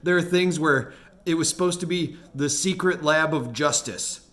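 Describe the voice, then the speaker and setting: A man's voice: on the high side, moderate pace, a bit bright. A man talking casually as an animator hosting a look back at his work, in a rambling, self-amused way. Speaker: animator and video host. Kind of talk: casual retrospective commentary.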